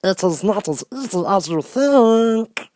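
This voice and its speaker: Man putting on a high, rough, defiant hill creature. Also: lively intonation, slow, noisy background. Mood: mocking. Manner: defiant, harsh. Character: rough, gruff, short stout armless hammerhead creature, mocking.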